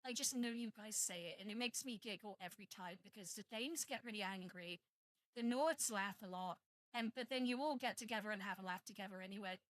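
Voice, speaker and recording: A woman's voice: mid-range voice, brisk, no echo, poor audio. Young British woman, streamer, dry close sound, joking chatty manner. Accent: British English. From Britain, half Welsh and half English. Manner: chatty, joking, swears freely. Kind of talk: casual live-stream chat with viewers.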